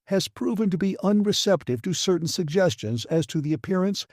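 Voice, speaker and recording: A man's voice: high-pitched, lively intonation, brisk, a little mellow, dry recording, studio quality, pitched above the performer's own voice. A man voicing a blustering, self-important male station director in an audiobook, with a pompous, badgering, officious manner. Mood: officious, offended. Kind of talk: dramatised audiobook reading.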